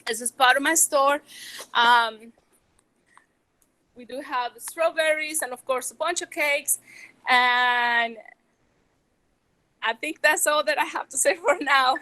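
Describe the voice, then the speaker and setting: A woman from Venezuela with a Venezuelan Spanish accent, a small business owner giving a nervous, halting talk with many restarts. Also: high voice, bright, forceful tone, rough recording. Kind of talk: presentation to educators.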